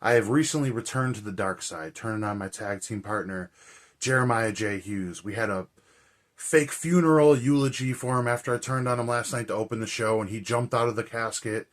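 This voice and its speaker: A man with a hoarse, raspy voice, chatting casually and rambling with lots of fillers as a movie vlogger. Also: on the low side, brisk. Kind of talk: casual chat.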